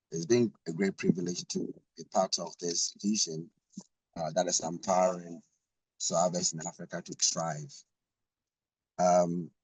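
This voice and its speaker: A man's voice: low-pitched, husky, dry recording, poor audio. A man giving an earnest prepared talk over a video call, with fillers and repeated words. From Ghana. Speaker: panel speaker.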